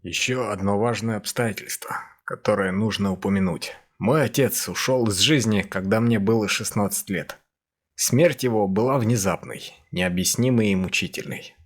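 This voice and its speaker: A man voicing an English officer who recounts his past from a diary in a measured, grave radio-play monologue. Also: lowish voice, natural voice. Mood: grave, reflective. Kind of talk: first-person dramatic monologue, radio play.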